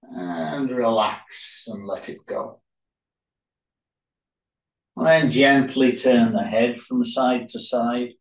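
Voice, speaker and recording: A man leading a yoga relaxation as instructor, speaking calmly in short, unhurried phrases with pauses. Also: medium pitch. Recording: roomy echo, distant microphone.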